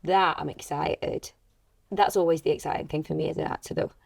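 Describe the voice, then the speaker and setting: A woman's voice: deep voice, steady tone, a little fast, vocal fry, dry recording, clean studio sound. A woman chatting casually as an actress on a podcast, asking and answering questions. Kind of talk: casual chat.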